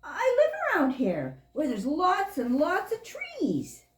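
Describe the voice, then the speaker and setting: Woman voicing a high, perky skunk puppet. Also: huge pitch swings, slow pace, heavily acted. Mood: friendly, animated. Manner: earnest, sing-song explaining to children. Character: perky, friendly young female skunk puppet, earnest and chatty. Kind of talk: children's puppet show dialogue. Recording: roomy, echoing room sound.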